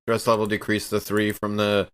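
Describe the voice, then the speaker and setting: A man's voice: on the low side, monotone, moderate pace, fairly bright tone, smooth. Man chatting as a tabletop gamer. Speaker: tabletop game player. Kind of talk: casual game-table chat.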